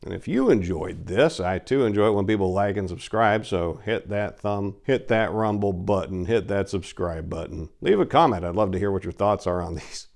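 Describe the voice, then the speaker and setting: A man's voice: sits low, expressive, moderate pace, vocal fry, hardly any pauses, darkish tone. A man hosting a story channel, chatting casually and enthusiastically to his listeners. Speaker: host and reader of a story channel.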